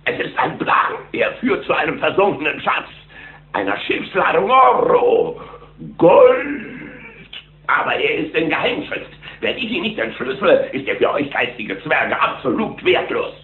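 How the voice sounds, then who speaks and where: An actor playing a menacing, haughty sea captain with a theatrical, scornful delivery in a cartoon audio drama. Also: male voice, fairly high pitch, very animated, a little slow. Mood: haughty, secretive. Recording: muffled, roomy old cassette.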